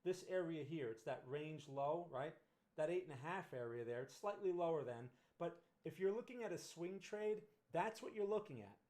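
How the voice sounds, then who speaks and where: A middle-aged man with a New Jersey accent, hosting a live market analysis stream. He talks casually, with a voice pitched a little above the usual for men. He restarts phrases and tags his points with "right?". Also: expressive, normal speed, darkish tone. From Northern New Jersey. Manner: conversational, frequent "right?" tag questions and restarts. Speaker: trading livestream host.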